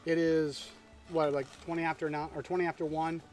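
A middle-aged man talks in a relaxed, rambling way while out on the trail, filling gaps with little fillers as he goes. His voice sits fairly high for a man. He is recorded outdoors in a forest on a close camera, with faint music behind him. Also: fairly quick. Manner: relaxed, chatty, thinking aloud with fillers. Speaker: backpacking vlogger. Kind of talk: casual trail vlog narration. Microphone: camera at close range.

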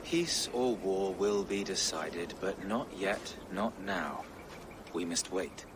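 An actor playing a pious, worried bishop in a game cutscene, speaking in a measured, cautious way. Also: male voice, low voice, animated, noisy background. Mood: grave. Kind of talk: video game cutscene acting.

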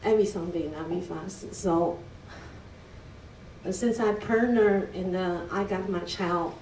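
A middle-aged woman with a Chinese accent speaks English haltingly, pausing on fillers and sounding nervous. Her voice sits a little low and she talks slowly. She is heard from a distance in an echoey church hall. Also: darkish tone, a little hiss or hum. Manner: hesitant, many fillers and restarts, nervous laughs. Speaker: church member giving a testimony. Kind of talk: halting personal testimony. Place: large church hall indoors. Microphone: handheld mic, picked up from a distance. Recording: echoey hall recorded from far off.

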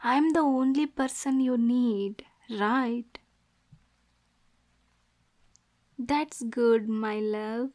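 Woman acting a high-voiced possessive yandere girlfriend. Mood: soothing, possessive. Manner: cooing pet names, switching between soft menace and cursing. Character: possessive young yandere girlfriend, manipulative and unstable. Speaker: ASMR voice actor. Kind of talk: ASMR roleplay acting.

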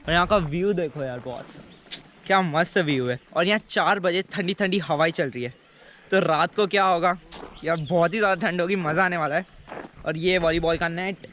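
Young male travel vlogger, eager and casual, outdoors with a handheld camera, light higher voice. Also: normal speed, fairly bright tone, a little hiss or hum. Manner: chatty and enthusiastic, addresses viewers as guys. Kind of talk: casual travel vlog narration. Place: outdoors at a hillside campsite. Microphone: handheld camera at arm's length.

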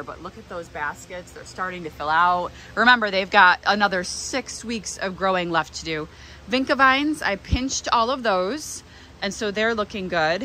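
Chatty, bright-voiced woman with fan noise. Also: medium pitch. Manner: chatty, enthusiastic. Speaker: plant nursery owner and vlog host. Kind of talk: casual hand-held vlog tour. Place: inside a greenhouse with running fans. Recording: noisy fans, handheld camera audio. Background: steady fan noise.

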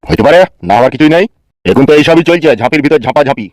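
A man's voice: fairly high pitch, very fast, dry recording, natural voice. Casting: man as blunt senior cop for comic cartoon, weary and stern, racing delivery, close dry sound. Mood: stern, lecturing. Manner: lecturing, blunt. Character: stern, weary, crude-tongued male senior police officer.

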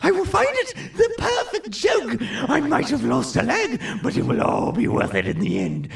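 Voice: high-pitched, wildly swooping pitch, normal speed, vocal fry, echoey room, faint music behind, heavily acted. An actor voicing a manic, cackling jester monster obsessed with jokes in a video game, with a theatrical, shrieky delivery. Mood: manic glee. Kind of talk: video game character acting.

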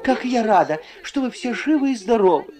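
A woman reading an expressive scripted voice-over for a children's cartoon, on an old film soundtrack. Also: on the high side, fairly quick. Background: clear background music.

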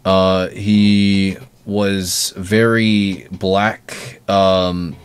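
A man co-hosting a casual panel podcast over an online call, explaining things in a halting, rambling way. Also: low voice, flat delivery, smooth. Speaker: podcast co-host.

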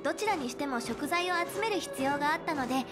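Earnest bookish young game heroine, super polite n formal, high voice, music underneath. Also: female voice, lively intonation, fast talker. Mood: mildly regretful. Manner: polite, formal, careful phrasing. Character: polite, earnest, studious young female intelligence officer. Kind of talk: Japanese anime game voice acting. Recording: clean studio voice over game music.

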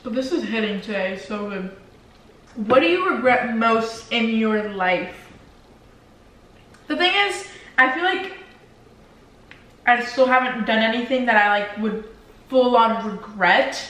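A woman's voice: a little high, expressive, measured pace, pauses often, a bit bright. A teenage girl with Spanish as her first language, chatting casually on a couch and rambling with lots of "like" fillers. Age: teens. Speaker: vlogger. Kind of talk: casual Q&A chat while eating. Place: living room, seated on a leather couch. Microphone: camera across the room. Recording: very echoey room, voice from a distance.

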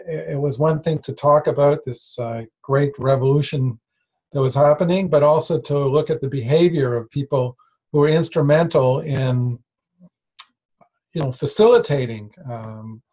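A man's voice: medium pitch, fairly even intonation, darkish tone. Middle-aged man on dull lo-fi call audio. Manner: halting, restarts often. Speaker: course participant. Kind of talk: self-introduction on a video call.

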